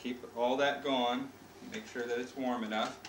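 A man's voice: medium pitch, fairly even intonation, normal speed. An American man in his thirties playfully presents a casual cooking demonstration, explaining it step by step as a father hosting a home cooking show. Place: home kitchen, indoors. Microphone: camcorder mic a few feet away. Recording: noisy, echoey home camcorder audio.

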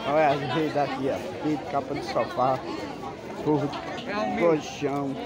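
A man's voice: mid-range voice, level tone, a little slow. A man with a Northeastern Brazilian accent from Pernambuco, chatting casually and greeting people as a market vlogger. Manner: calls out and greets passersby informally. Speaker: vlogger filming a street market. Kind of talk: casual chatty walk-through commentary. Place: outdoor street market. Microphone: handheld phone held by the person filming. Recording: busy outdoor crowd and street noise.

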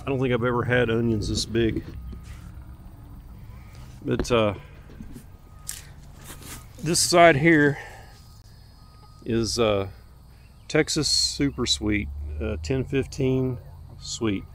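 A man's voice: medium pitch, takes it slowly, long pauses, fairly bright tone. A man giving a casual, unscripted garden tour outdoors as a homestead vlogger, in a folksy, plainspoken way. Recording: outdoor recording with faint ambient noise.

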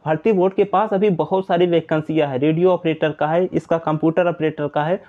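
A high-pitched man explains updates informally to his viewers. He repeats his points in a reassuring way. The audio is narrow and tinny, like a phone line. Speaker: exam news presenter.

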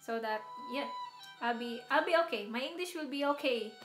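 A young woman with a Filipino accent chats with her stream viewers from a small room, her voice sitting a little high. She laughs often and repeats words as she searches for them. The room adds a roomy echo, and faint music plays behind her. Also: a little fast. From Philippines. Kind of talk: casual chat to live viewers.

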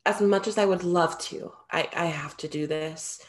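An earnest immortal muse played by a young woman in a theatrical reading. Her voice sits on the low side, and she words things formally and precisely. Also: moderate pace, close to an everyday voice. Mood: tender, decided. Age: young adult. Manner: formal, precise, avoids contractions. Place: indoor room at home, video call.

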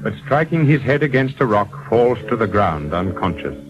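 Narrator for old radio play: solemn, deep, formal storytelling delivery. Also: male voice, sing-song. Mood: solemn narration. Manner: formal, measured. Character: solemn storyteller of a folk drama. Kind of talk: scripted 1940s radio narration. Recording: muffled 1940s radio broadcast, music behind.

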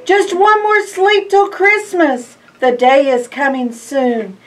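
Older woman reading slowly to children. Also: sits high, recorded from a distance, slight background noise. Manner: expressive, drawn-out phrases with hushed asides and questions to listeners. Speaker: library storytime reader. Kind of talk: animated picture-book read-aloud to young children. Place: indoor library in front of bookshelves.

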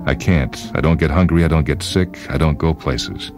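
A man's voice: very deep voice, normal speed. A man voicing an erudite, coolly blunt man made of words, speaking in a clipped, pedantic, insistent way over music. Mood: blunt, detached.

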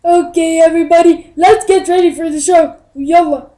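A woman's voice: extremely high pitch, fairly even intonation, moderate pace, warm tone, echoey room. A young girl play-acting dramatically and playfully in a homemade puppet show with stuffed toys. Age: child. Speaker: amateur puppeteer. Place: indoor room at home.

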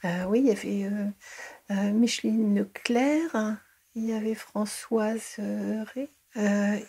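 A woman's voice: mid-range voice, fairly even intonation, takes it slowly, creaky, warm tone, slightly rough. A middle-aged woman recalling her school years in a casual interview, hesitant, with many fillers and restarts. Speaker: interviewee, early childhood educator.

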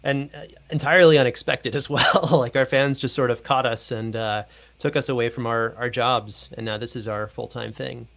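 A man's voice: medium pitch, steady tone, normal speed, creaky, fairly bright tone. A man in his thirties with an American accent, talking casually and modestly as an interview guest. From Portland, Oregon, United States. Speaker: guest, audio fiction producer. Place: indoor room, video call. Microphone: close studio mic with headphones.

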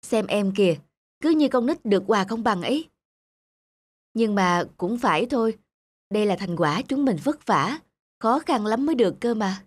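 Người chồng trẻ hay cằn nhằn. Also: male voice, sits high, expressive, normal speed, dry recording. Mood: affectionate. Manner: grumbling, complaining. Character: discontented young husband, envious and easily irritated. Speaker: voice actor. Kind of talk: cartoon dialogue dub.

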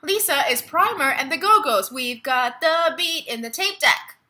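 A woman's voice: high voice, animated, unhurried, sharp tone, heavily acted. A young woman acting a stage monologue as a lonely, wry, restless woman reading out her online status updates. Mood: frazzled. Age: young adult. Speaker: actor in a staged play reading. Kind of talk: dramatic monologue read as social media status updates. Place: living room at home. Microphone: laptop webcam at close range.

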